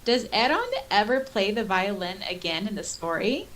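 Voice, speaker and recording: A woman's voice: medium pitch, expressive, moderate pace, a bit bright, poor audio. A woman co-hosting a casual fan podcast, chatting and reading listener comments aloud. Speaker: podcast co-host.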